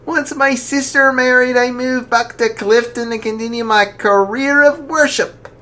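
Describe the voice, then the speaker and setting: Reader playing a kindly elderly churchman, jolly, gentle, high-pitched, unhurried. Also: fairly even intonation, exaggerated voice. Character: tall jolly older male archbishop.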